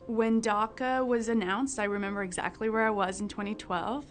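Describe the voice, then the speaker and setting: A woman's voice: mid-range voice, steady tone, normal speed. A woman in her twenties from Mexico, giving an earnest, heartfelt testimony to camera in a documentary interview. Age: 20s. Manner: earnest and heartfelt, with small restarts. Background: soft music.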